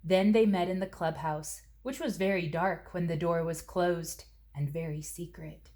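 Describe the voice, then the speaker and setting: A woman reading a children's story aloud as narrator, with expressive, deliberate phrasing. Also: lowish voice. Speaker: storybook reader.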